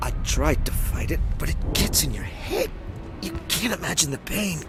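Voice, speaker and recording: A man playing a tormented colony leader fighting mind control, speaking in a strained, halting, creaky voice. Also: a little high, big pitch swings, loud music behind the voice. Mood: anguished.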